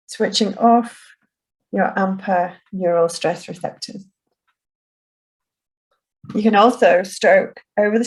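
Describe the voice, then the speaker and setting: A woman leads a group through a guided self-soothing exercise. She talks very slowly and unhurriedly, in a soft, gentle, soothing way, at a middling pitch. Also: low-quality recording. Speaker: relaxation instructor.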